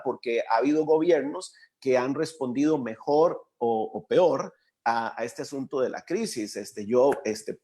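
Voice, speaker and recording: A man with a Costa Rican accent hosts a conversational interview. He thinks aloud, pausing and restarting as he searches for words. His voice sits at an ordinary middle pitch. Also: dark tone. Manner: reflective, halting, searches for words. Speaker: interview host.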